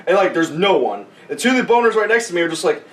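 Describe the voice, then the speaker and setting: A man's voice: sits high, very animated, fast talker, fairly bright tone. A man casually telling a sweary, rambling story among friends, mimicking other people's dialogue as he goes. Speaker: podcast co-host and army veteran telling a story. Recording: roomy echo, distant mic, faint noise.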